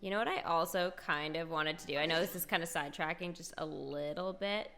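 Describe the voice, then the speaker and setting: Bright, creaky-voiced woman game streamer. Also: deep voice, brisk. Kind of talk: casual gameplay commentary. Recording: faint game music behind.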